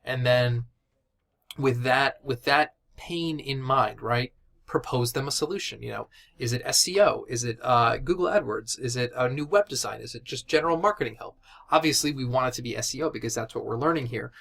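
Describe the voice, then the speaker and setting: A man with a mid-range voice teaches in a relaxed, informal way. He speaks like an instructor chatting directly to his students, loosely and conversationally, often tagging points with a quick check that they follow. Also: medium pitch, vocal fry. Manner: informal and conversational, addresses listeners as guys, often checks with "right?". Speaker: instructor of a sales and digital marketing course. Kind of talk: casual instructional talk.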